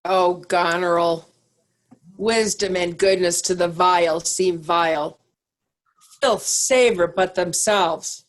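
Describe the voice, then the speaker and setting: Slow, grave, outraged nobleman played by a woman. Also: medium pitch. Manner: grave, condemning, measured verse delivery. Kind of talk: Shakespearean reader's theatre.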